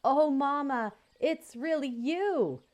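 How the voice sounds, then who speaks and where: A woman's voice: high voice, takes it slowly, pitched above the performer's own voice. A woman voicing a young male goose, anxious and hopeful. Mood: joyful.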